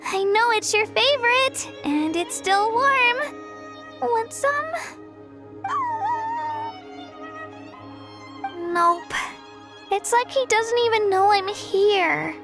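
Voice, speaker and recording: Caring young child villager, very high pitch, sincere, grateful, video game dialogue, background music. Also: female voice, animated, takes it slowly, heavily acted. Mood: gentle, disheartened.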